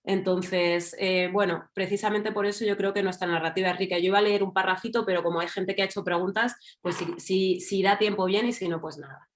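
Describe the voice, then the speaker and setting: A woman's voice: mid-range voice, fairly even intonation, brisk, few pauses. A woman from Spain with a Madrid accent, speaking as a panelist in an online talk, thinking aloud with fillers and asides. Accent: Madrid Spanish. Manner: reflective, thinking aloud with fillers and tag questions. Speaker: panelist writer.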